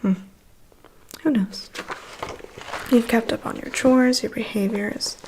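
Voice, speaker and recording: A woman performing an ASMR personal-attention roleplay as a vampire's assistant, whispering in a soft, gently attentive and reassuring manner. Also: fairly high pitch, fairly even intonation, measured pace, slight background noise, rough recording. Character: vampire's assistant doing a gentle, methodical medical inspection.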